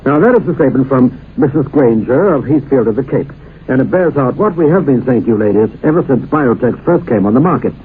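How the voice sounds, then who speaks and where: A man's voice: mid-range voice, animated, quick pace. A man delivering an old radio commercial as announcer, in an emphatic, salesmanlike way. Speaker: advertising announcer. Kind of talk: vintage radio commercial pitch. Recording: dull, noisy vintage radio recording.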